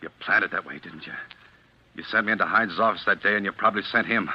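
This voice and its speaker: An actor playing an earnest, plain-spoken young ex-convict on trial, in 1940s radio drama style. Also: male voice, sits low, slight background noise. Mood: angry, accusing. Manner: plain-spoken, halting, insistent. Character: accused young ex-convict, earnest, grimly determined under pressure. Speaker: defendant on trial. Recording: dull vintage 1940s radio recording.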